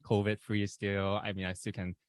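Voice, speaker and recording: A man's voice: lowish voice, flat delivery, normal speed, no room sound. A young man in his twenties from Malaysia with a Malaysian English accent, chatting as a podcast guest in an upbeat, rambling way. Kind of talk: casual podcast chat.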